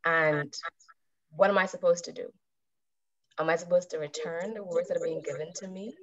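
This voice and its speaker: A woman in her thirties giving a calm, measured talk to a club over a video call and putting questions to her listeners. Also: lowish voice. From Bahamas. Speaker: club speaker. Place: indoors with a virtual background. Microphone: webcam on a video call. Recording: dull video-call audio.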